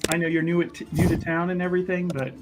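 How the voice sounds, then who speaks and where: A man's voice: fairly high pitch, level tone, quick pace. A man playing a tabletop roleplaying game, casually improvising his character's words and actions. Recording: music behind the voice. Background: background music.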